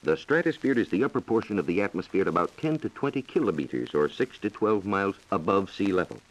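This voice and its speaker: A man's voice: low voice, animated, fast, poor audio. A man explaining science from a script on a 1940s radio program, formal, precise and authoritative, heard on a vintage broadcast recording. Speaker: science reporter answering listener questions.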